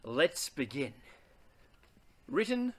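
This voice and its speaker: Aussie guy reading a kids book out loud, kinda high voice, really swoopy and theatrical. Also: very animated, drawn-out words. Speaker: read-aloud host. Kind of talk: expressive children's picture book read-aloud.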